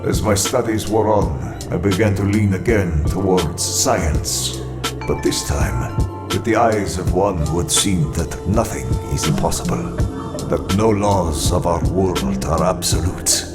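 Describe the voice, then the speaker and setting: A deep, imperious supervillain narrator. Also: male voice, unhurried. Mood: brooding, grand. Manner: grandiose, imperious, brooding. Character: proud, menacing male supervillain sorcerer-scientist recounting his rise. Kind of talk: dramatic scripted first-person narration. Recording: loud music under the voice.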